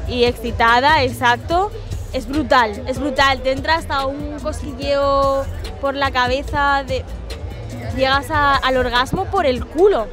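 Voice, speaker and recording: A woman's voice: sits high, measured pace, over music. A young woman with a Spanish accent from Spain, answering frankly and eagerly into a handheld mic outdoors at night. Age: young adult. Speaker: interviewee. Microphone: handheld mic held by interviewer.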